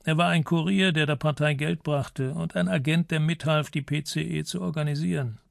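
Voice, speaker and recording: A man playing a courtly, secretive old ex-intelligence officer in a radio crime drama, gravelly and formal, choosing his words with dignity. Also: on the high side, steady tone, moderate pace, no room sound, clean studio sound. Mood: confiding, grave. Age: older.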